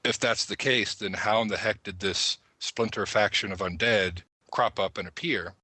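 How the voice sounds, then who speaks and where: A man's voice: fairly low pitch, steady tone, moderate pace, sharp tone, husky, no room sound. A man speaking as a podcast panelist, casually arguing and explaining his points with small asides.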